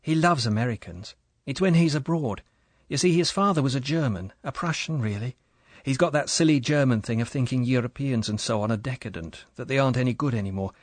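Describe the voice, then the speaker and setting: A man voicing a young, pretty English wife who talks in a soft, chatty, apologetic way. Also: mid-range voice, brisk, dry recording, natural voice. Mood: eager.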